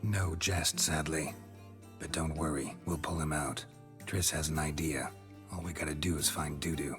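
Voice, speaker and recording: A man playing a stoic, terse mercenary in a fantasy video game, with a laconic, deadpan delivery. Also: very deep voice, moderate pace, soft background music. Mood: matter-of-fact. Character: stoic, terse male monster-hunting mercenary. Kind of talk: video game cutscene acting.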